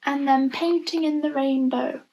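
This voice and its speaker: A woman reading a picture book aloud for young children, playfully expressive, adding chatty asides and questions about the pictures, in a noisy home recording. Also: high-pitched, measured pace. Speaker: storybook reader for young children. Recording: noticeable background noise, home online recording.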